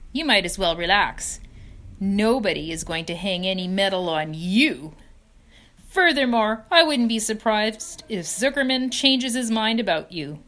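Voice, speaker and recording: A woman voicing a greedy male rat with a husky, hoarse voice and a gloating drawl, reading a storybook. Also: mid-range voice, bright, forceful tone, exaggerated voice.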